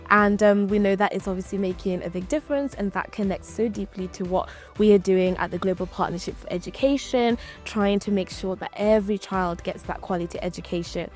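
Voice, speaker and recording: A woman's voice: mid-range voice, a little fast, few pauses, darkish tone, faint music behind. A woman from Zimbabwe whose first language is Shona, hosting an interview in an encouraging, enthusiastic way. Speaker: interview host. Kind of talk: casual interview.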